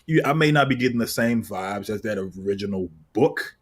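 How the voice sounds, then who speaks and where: A man's voice: fairly low pitch, expressive, normal speed, crowd noise. A man in his thirties chatting casually as a panelist, with a wry manner. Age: 30s. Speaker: panelist on a comics discussion show. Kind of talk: casual chat.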